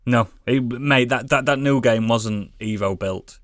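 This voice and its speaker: A man's voice: mid-range voice, unhurried, dry recording. Blunt, sweary British man, dry close sound. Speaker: podcast co-host. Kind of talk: casual opinionated gaming chat.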